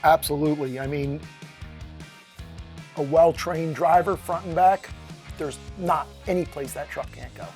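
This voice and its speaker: A man with a slightly high voice answers briefly and with assurance as a studio guest. The style is conversational, with faint guitar music behind. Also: fairly even intonation, measured pace, pauses often, a little mellow. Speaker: sales director, studio guest. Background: light guitar music.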